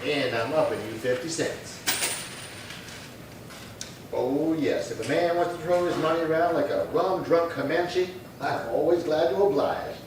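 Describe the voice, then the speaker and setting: An actor playing a folksy, crafty Old West doctor in a radio play, exclamatory and gloating. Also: male voice, on the high side, a little slow, natural voice. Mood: gleeful, needling. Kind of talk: old-time western radio acting. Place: live theatre stage performance. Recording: very roomy, distant stage pickup, faint noise.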